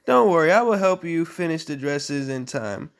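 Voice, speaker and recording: A woman voicing a kind, forgiving young fairy-tale heroine in a gentle, reassuring manner. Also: low-pitched, animated, moderate pace, clean tone, voice pushed down.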